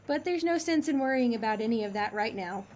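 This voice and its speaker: A woman's voice: on the high side, expressive, brisk, darkish tone, natural voice. An actress playing a dry, exasperated woman director in a comic audio drama, with a wry, officious manner. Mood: uneasy, reflective.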